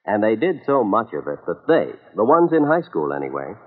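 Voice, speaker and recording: An American man reading a scripted 1960s public service radio piece in an earnest, measured announcer style. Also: fairly low pitch, wildly swooping pitch. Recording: muffled reverberant old radio recording.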